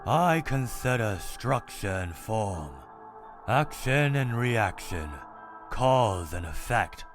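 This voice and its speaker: A man voicing a cold, calculating, one-eyed male robot scientist in a comic dub, speaking in a measured, clinical, detached way. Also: fairly low pitch, sing-song. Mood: coldly logical. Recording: dramatic music underneath.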